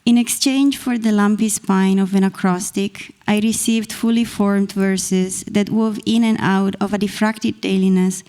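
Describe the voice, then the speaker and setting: A woman in her thirties from Italy with an Italian accent, reading her poetry slowly and deliberately into a stand mic on a small stage. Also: mid-range voice, fairly even intonation, mellow. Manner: measured, deliberate reading from a page. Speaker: poet reading her work. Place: small stage in a dark performance venue. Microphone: stand mic close to the mouth.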